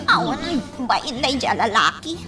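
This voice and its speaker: An actress with a Filipino accent playing a spoiled, petulant young daughter in a radio drama. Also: female voice, on the high side, very animated, measured pace, pushed and bright, full character voice. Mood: indignant.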